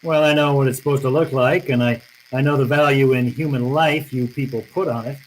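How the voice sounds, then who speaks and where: An actor playing a wry, tough, unflappable private detective, laconic and sardonic, in old-time radio style. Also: male voice, medium pitch, fairly even intonation, brisk, slight background noise, close to an everyday voice, rough recording. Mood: casual, probing. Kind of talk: old-time radio drama acting and first-person narration.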